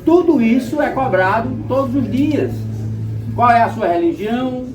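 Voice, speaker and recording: Man, animated pitch, explanatory, music behind. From Rio Grande do Norte, Brazil. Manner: measured, explanatory, rhetorical questions. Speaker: panel speaker. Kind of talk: lecture-like discussion. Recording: echoey room, distant mic, music behind.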